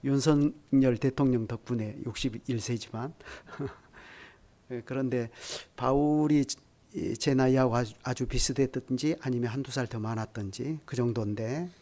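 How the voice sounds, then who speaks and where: A man in his sixties delivers a sermon at a midweek prayer service. His preaching is measured and unhurried, swelling to emphatic peaks at key points. He turns often to address the congregation directly, with the odd light chuckle. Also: mid-range voice, dark tone. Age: 60s. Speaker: pastor.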